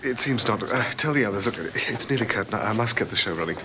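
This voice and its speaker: A man's voice: lowish voice, lively intonation, quick pace, background noise, lightly acted. A man playing a flustered, bookish character in a television drama, hesitant and stammering. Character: flustered, bookish British man running a school show. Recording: TV show playback with music behind.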